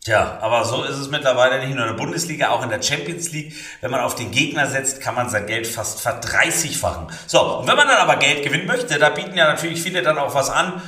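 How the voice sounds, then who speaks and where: A man's voice: medium pitch, expressive, quick pace, few pauses, pushed and bright, echoey room, far from the mic. A man from northern Germany co-hosting a podcast, giving opinionated football takes and correcting himself as he goes. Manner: opinionated, self-correcting, joking. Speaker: podcast host. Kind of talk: casual football betting chat.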